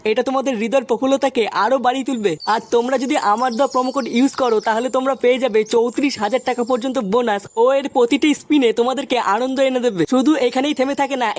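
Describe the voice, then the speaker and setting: A channel creator reading a scripted promotional spot. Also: high-pitched. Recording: music bed behind the voice.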